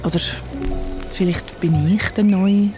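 A woman's voice: medium pitch, fairly even intonation, measured pace, over music, close to an everyday voice. A woman acting an earnest young friend in an audio drama, in natural conversation. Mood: calm, pensive. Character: earnest young woman, mysterious member of campfire friend group.

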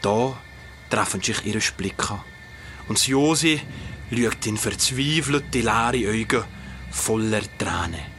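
A man narrating a dark mountain legend in an audio drama, solemn and dramatic. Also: on the low side, expressive, takes it slowly, soft background music. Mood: solemn, grave. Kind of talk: dramatic storytelling of a folk legend. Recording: clear background noise of ambience.

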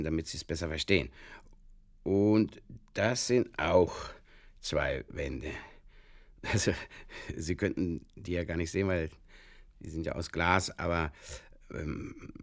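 A man playing an earnestly muddled Bavarian fishkeeper in a halting, circuitous comic radio monologue, with a Bavarian accent. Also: low-pitched, vocal fry, dry recording, clean studio sound, exaggerated voice. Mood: fumbling, earnest. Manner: halting, circuitous, literal-minded, over-explaining. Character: rambling, earnestly muddled Bavarian fishkeeper telling absurd tales.

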